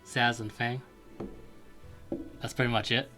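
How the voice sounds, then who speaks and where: Slow, bright-toned young man giving blunt opinions. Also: mid-range voice, level tone, faint music behind. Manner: blunt, short opinions, drawn out. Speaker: podcast co-host. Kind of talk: casual gaming podcast chat.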